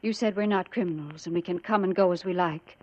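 An American woman playing a suspicious middle-aged wife and mother in a 1950s radio drama, measured and pointed. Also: lowish voice, natural voice. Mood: accusing. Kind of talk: 1950 radio drama acting. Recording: dull old radio recording.

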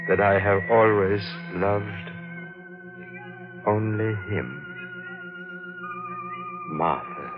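An actor playing a deranged, menacing killer in a 1940s radio mystery, halting and melodramatic, repeating his words. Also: fairly low pitch. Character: deranged male killer, confessing, brooding and menacing. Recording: muffled old radio broadcast with music.

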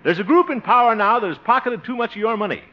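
A man's voice: very high voice, animated, fast talker. An actor playing a good-natured, bashful man running for mayor in a 1950s radio comedy, blustery, flustered and given to chuckling. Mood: pompous oratory. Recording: muffled 1950 radio recording, noisy, audience.

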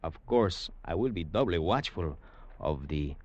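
Deep-voiced sly cafe owner. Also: male voice, lively intonation, unhurried, no echo, exaggerated voice. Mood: genial, amused. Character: Tio, genial sly Cuban cafe owner, a reformed rogue. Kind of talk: 1940s radio drama acting.

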